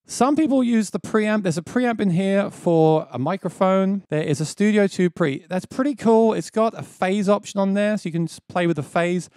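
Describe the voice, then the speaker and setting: Man, thirties, upbeat gear tutorial host, slightly high pitch, close dry mic, small home studio. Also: moderate pace, hardly any pauses, a little mellow, no room sound. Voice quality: describes his own voice as quite low. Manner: enthusiastic, explains step by step. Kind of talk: casual spoken tutorial. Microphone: dynamic mic on a boom close to the face.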